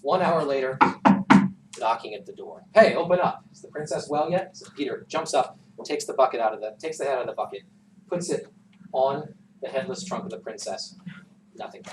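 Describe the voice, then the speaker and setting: A man telling a folktale informally to a class in an animated, conversational way with asides. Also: mid-range voice, normal speed. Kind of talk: informal oral storytelling. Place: classroom, recorded from some distance. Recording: roomy, distant classroom recording with background noise.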